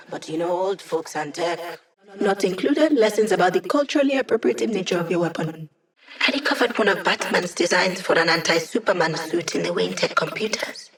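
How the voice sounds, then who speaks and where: A woman's voice: on the low side, distant mic, close to an everyday voice. Woman acting as sassy young female hacker. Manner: wry, teasing, sarcastic. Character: sassy young female hacker, digital projection, playful and cocky. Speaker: video game voice actress. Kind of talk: video game character voice lines.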